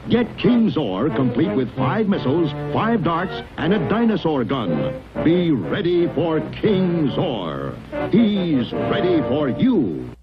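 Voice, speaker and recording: A man's voice: a little high, wildly swooping pitch, takes it slowly. A man announcing a 1960s toy commercial in a dramatic, urgent manner. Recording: lo-fi old TV ad under loud music.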